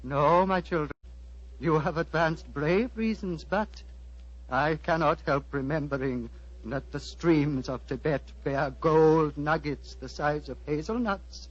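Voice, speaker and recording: High-voiced, grave old abbot. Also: male voice. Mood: gently reproving. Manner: measured, formal, paternal. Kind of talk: 1940s radio acting. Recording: muffled, noisy old 1940s radio broadcast.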